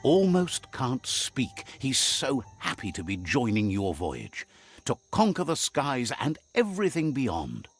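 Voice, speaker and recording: An actor playing a wry, fond storybook narrator in a game, with a measured storyteller's delivery. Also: male voice, medium pitch, wildly swooping pitch, faint music behind. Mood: wry, friendly. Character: male storybook narrator relaying creatures' words, wry and fond.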